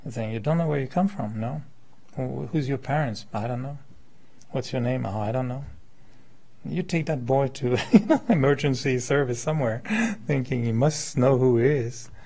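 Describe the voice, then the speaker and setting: Male speaker, fifties, mid-pitched with heavy vocal fry, informal spiritual lecture, conversational and questioning. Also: normal speed, dark tone. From born in France, settled in Quebec. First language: French. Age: 50s. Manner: conversational, illustrates with hypothetical examples and questions. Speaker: meditation teacher, speaker. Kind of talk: informal spiritual talk to a live group.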